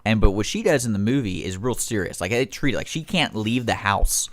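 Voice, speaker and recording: A man's voice: lowish voice, animated, a little fast, a bit bright. A man hosting a radio show, chatting casually in a rambling, teasing way. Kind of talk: casual chat. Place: radio studio.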